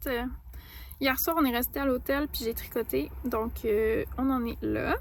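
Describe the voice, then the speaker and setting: A high-pitched young woman with a Québécois accent chats to her camera in a playful travel vlog. Faint noise hums under her voice. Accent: Québécois French. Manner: chatty and playful, lots of fillers. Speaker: vlogger. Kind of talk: casual vlog narration. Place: hotel room and shops on a trip.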